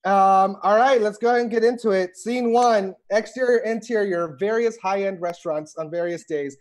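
High-pitched man leading a read-through. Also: normal speed. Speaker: host reading stage directions. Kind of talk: casual group chat and script read-through. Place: indoor room, on a group video call. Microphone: video call.

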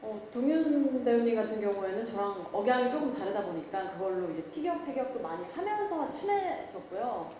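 Lively young actress. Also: female voice, fairly high pitch, brisk, hardly any pauses, slight background noise. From Busan, South Korea. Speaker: actress at a press event. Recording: echoey event hall.

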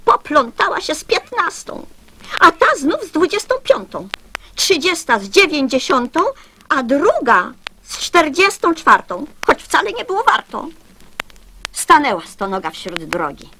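High-voiced woman telling children's verse expressively. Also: big pitch swings, a little slow, sharp tone, a little hiss or hum. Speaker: reciter of children's poems. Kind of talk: expressive verse storytelling, radio recording.

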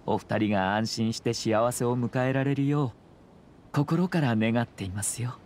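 An actor playing a courteous, composed young noble commissioner in an anime game, speaking in measured, formal politeness. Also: male voice, mid-range voice, darkish tone, slight background noise, lightly acted. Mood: earnest, reassuring. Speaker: government commissioner.

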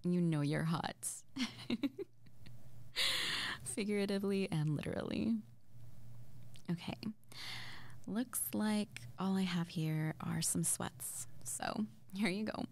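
Small flirty witch played by a woman, intimate roleplay audio. Lowish, creaky, breathy, stammering and awkward. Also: slow, long pauses, a little mellow. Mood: soft, apologetic, flirty. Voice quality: breathy, often dropping to near-whisper. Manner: hesitant, stammering, giggly.

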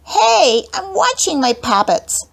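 Storybook little sister, played by a woman: very high, loud, whiny, indignant, crying out. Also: big pitch swings, takes it slowly, vocal fry, full character voice, low-quality recording. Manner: yelping, crying out. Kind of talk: children's audiobook character voice.

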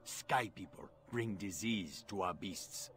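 Alien tribesman, stern, proud, sharp forceful tone, formal stilted delivery, video game. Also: deep voice, takes it slowly, a little hiss or hum. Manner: terse, stilted, formal phrasing. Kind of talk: dramatic video game dialogue.

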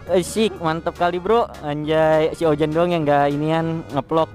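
A young man with a high voice talks in quick, slangy bursts, full of exclamations. He speaks into a helmet intercom while riding through the city at night, with faint music underneath. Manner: excitable, slangy, exclaims often. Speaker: motovlogger. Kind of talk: casual riding vlog chat. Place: on a motorbike on city streets at night.

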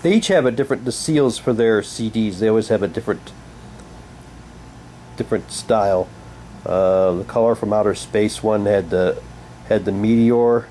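A man giving a halting, unhurried, casual commentary as he shows and reads out items for an unboxing video. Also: on the low side. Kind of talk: casual unboxing commentary, reading packaging aloud. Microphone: handheld camera's built-in mic. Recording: noisy home camcorder, steady hum.

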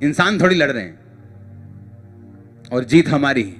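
A man's voice: mid-range voice, big pitch swings, moderate pace, a bit bright, faint music behind. A middle-aged man preaching an impassioned sermon as a pastor, emphatic and exhorting, repeating phrases for effect. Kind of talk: impassioned church sermon to a congregation.

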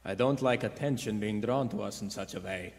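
An actor playing a secretive, grumbling husband, halting and evasive, in old radio-play style. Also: male voice, on the low side, lightly acted. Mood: uneasy, grumbling. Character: uneasy, grumbling, secretive husband running a cinema. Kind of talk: 1940s radio acting. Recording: echoey theater hall.

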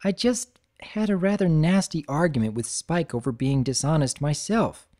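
A man's voice: fairly high pitch, moderate pace, darkish tone, close to an everyday voice. A man voicing a nervous, bookish young pony mare who talks hesitantly. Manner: hesitant, fretful.

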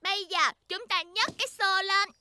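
A woman's voice: extremely high pitch, steady tone, normal speed, heavy background noise. A woman voicing a helpful young rabbit girl, a big sister, in a children's cartoon dub.

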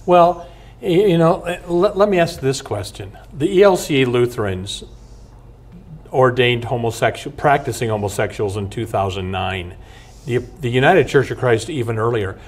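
An older man, a clergyman hosting a studio interview, asking blunt, summarizing questions and adding quick interjections. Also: mid-range voice. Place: studio set with bookshelf backdrop, seated at table.

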